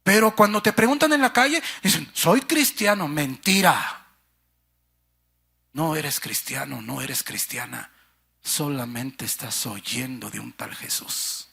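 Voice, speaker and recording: A man preaching with fervor, his high voice leaping up and down across wide swings. His tone is bright and a little husky. He speaks slowly and deliberately, turning often to his listeners as brother and sister. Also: lively intonation. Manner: impassioned, swinging from shouts to hushed low phrases. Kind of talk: sermon.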